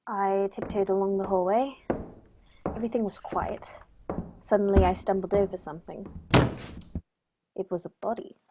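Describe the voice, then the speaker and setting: A woman playing a composed, shrewd gentleman detective in a radio play, precise and dryly polite. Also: mid-range voice, steady tone, normal speed, long pauses, very noisy, natural voice. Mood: measured recounting.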